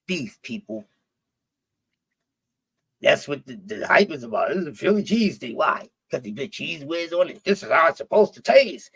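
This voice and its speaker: A man's voice: on the high side, very animated, moderate pace, creaky, a bit bright, husky, rough recording. Rambling, cussing Philly man. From Philadelphia. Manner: profane, rambling, with many restarts. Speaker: stream guest. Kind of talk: casual chat.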